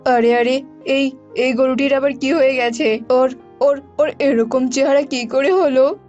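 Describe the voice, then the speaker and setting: A woman's voice: high-pitched, fairly even intonation, unhurried, darkish tone, highs cut off. A woman voicing a frightened, guilty village man in a cartoon, stammering and repeating words, with music behind. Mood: frightened, pleading.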